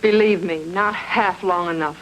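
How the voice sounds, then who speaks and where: A woman's voice: lowish voice, fairly bright tone, a little hiss or hum, low-quality recording. A woman playing a cool, guarded former nightclub entertainer in a noir radio drama, speaking formally and evasively. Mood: cool, defiant. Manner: formal, measured, evasive phrasing.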